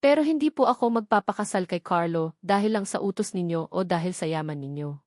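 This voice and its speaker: A woman's voice: fairly low pitch, expressive, a little fast, a little mellow, no echo, clean studio sound, natural voice. A woman voicing a wary, firm young pregnant woman in an audiobook romance drama, with earnest resolve. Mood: steady, resolute.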